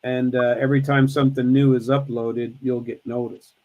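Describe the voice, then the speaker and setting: A man's voice: medium pitch, flat delivery, normal speed, muffled recording. A man in his sixties hosting a live stream, chatting casually with family, rambling and joking with many restarts. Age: 60s.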